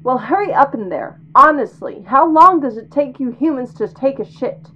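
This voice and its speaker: A woman playing a bossy, impatient, sharp-tongued demon girlfriend in an audio drama. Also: high voice, lively intonation, normal speed, husky, lo-fi sound. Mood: impatient, irritated.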